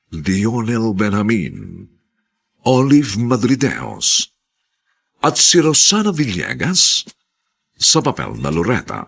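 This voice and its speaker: Deep, gravelly, theatrical male radio narrator. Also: fairly bright tone. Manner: solemn, theatrical. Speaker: drama narrator and announcer. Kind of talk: scripted dramatic radio narration.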